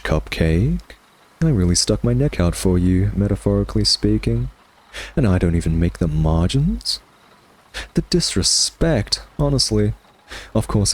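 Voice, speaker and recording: Casting: male actor as smug vampire nemesis for ASMR roleplay, very deep, unhurried, drawling, sardonic. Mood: mock offended. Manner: sardonic, taunting, drawling, theatrical. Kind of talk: ASMR roleplay, intimate scripted monologue.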